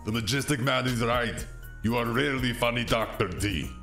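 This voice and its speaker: Gravelly robot sidekick, super stiff and formal, sharp bright voice, music underneath. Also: male voice, medium pitch, normal speed. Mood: literal, formal. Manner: stiff, formal, declamatory phrasing. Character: loud, literal-minded robot sidekick.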